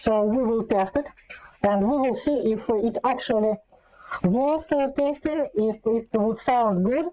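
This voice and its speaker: Slow, hesitant woman with a mellow voice. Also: on the high side, rough recording. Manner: hesitant, halting delivery with frequent long filler pauses. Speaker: host demonstrating an electronic gadget. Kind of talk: casual unscripted tech demonstration.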